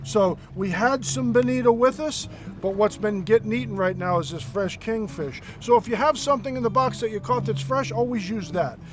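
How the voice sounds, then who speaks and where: A man acting as a fishing guide on a boat, chatty and energetic, explaining tips step by step. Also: sits high, normal speed. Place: on a boat offshore, outdoors.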